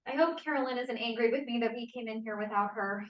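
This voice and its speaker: Woman doing a chatty eager young 1940s cowgirl, high voice, echoey room. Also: fast talker, natural voice. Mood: excitable. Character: eager, chatty young cowgirl student from rural Wisconsin, 1945. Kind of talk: play table read. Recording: roomy, echoey, distant mic.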